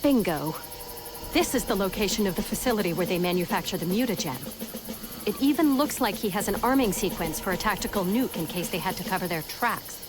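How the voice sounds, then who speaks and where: A woman acting a determined, resourceful action heroine in a video game, brisk and practical in her delivery. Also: medium pitch, lively intonation, background noise, natural voice. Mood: pleased, brisk.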